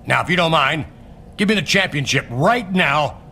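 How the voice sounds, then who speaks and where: A man's voice: fairly high pitch, lively intonation, moderate pace, gravelly, sharp tone, slight background noise, full character voice. A man playing a domineering, menacing wrestling company boss in a video game, commanding and sardonic. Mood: stern, threatening. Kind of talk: scripted video game cutscene acting.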